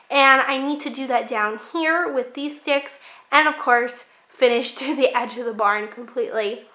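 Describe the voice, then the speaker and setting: A woman's voice: a little high, bright, forceful tone. A young woman casually narrating a craft project on her home channel, chatty and upbeat with light laughs.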